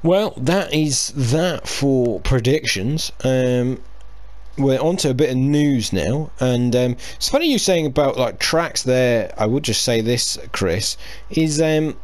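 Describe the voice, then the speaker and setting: A man's voice: medium pitch, animated, slow. A man hosting a casual sports podcast, chatty and hesitant with lots of fillers and restarts. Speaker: podcast host. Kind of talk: casual chat.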